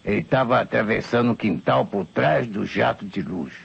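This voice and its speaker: Gravelly, stammering old Brazilian vagabond. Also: male voice, fairly low pitch, animated, normal speed, exaggerated voice. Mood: awed, insistent. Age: older. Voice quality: gravelly, husky. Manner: stammering, rambling, folksy, humble. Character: old tipsy male vagabond beggar, frightened, insistent witness. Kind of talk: old radio mystery serial acting. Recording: muffled vintage radio drama recording.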